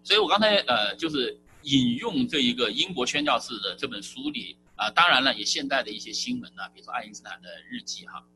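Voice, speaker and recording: Male preacher, mid pitch, animated delivery, music faint behind; conversational sermon, lots of fillers. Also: fast, hardly any pauses, low-quality recording.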